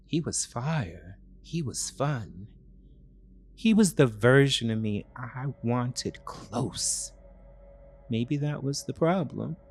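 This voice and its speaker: A man narrating a first-person dramatic story in short, clipped phrases with heavy pauses. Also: medium pitch, darkish tone.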